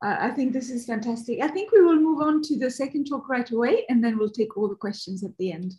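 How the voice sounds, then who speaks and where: A woman's voice: fairly high pitch, expressive, fast talker, mellow. A woman moderating an online seminar over a video call, speaking briefly between talks.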